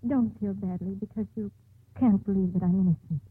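An actress playing a young condemned woman, anguished and deeply in love, in a 1950s radio melodrama. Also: steady tone, brisk, breathy edge. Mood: tender resignation. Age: young adult. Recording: muffled old 1950s radio broadcast.

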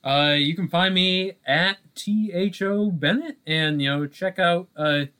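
Higher-pitched man chatting on a podcast. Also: measured pace, pushed and bright, clean tone. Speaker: podcast co-host. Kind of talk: casual chat.

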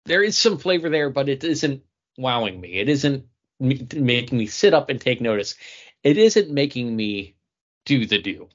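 A man's voice: medium pitch, very animated, normal speed. A man co-hosting a podcast, talking in a casual, conversational style. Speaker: podcast co-host. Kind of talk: casual chat. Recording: dull lo-fi audio.

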